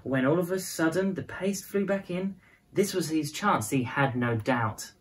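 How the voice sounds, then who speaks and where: A woman reading a children's picture book aloud as a storyteller, with expressive, dramatized phrasing. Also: sits low, a little slow. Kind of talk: scripted storybook narration in prose and verse.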